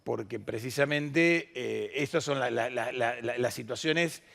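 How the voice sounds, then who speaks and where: A man's voice: mid-range voice, a little slow, vocal fry, a bit bright. A man, moderating a conference panel and speaking off the cuff. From Latin America. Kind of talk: unscripted conference panel discussion.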